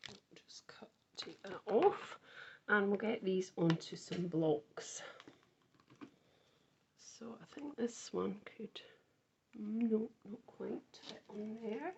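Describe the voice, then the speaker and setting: A woman with a croaky voice, casually talking through a card-making tutorial and thinking aloud as she works. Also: medium pitch, takes it slowly, long pauses, a little mellow, a little hiss or hum. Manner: chatty, thinks aloud while working.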